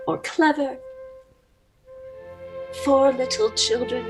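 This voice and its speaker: An actress playing an emotional, idealistic woman in a 1930s radio play, halting and tremulous. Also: on the high side. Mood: tearful, overwhelmed. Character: emotional, idealistic American woman addressing refugee children.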